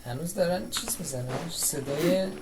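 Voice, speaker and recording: Very fast-talking man commenting on gameplay. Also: a little high, expressive, heavy background noise. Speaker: game streamer playing and commenting.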